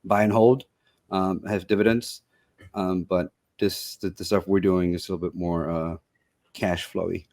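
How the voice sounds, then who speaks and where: A man's voice: sits low, fairly even intonation, normal speed, darkish tone. A man talking casually as an investor guest in a podcast interview.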